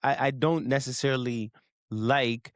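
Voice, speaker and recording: Canadian podcast guy chatting, mid pitch, repeats himself a lot, real dry close sound. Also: a little slow, dry recording. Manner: animated, repeats words when excited. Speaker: podcast co-host. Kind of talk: casual chat.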